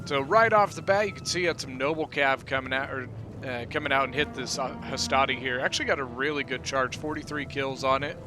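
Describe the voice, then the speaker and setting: A man hosting his gaming channel, giving relaxed, chatty commentary on a battle replay, peppered with "you know" and "uh". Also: mid-range voice, fairly quick, music in the background.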